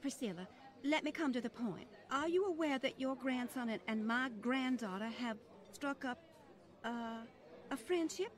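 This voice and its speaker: A woman's voice: high-pitched, moderate pace, natural voice. A woman playing a genteel older society lady, fussy, flustered and condescending, in an old-time radio style drama. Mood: flustered, scandalized.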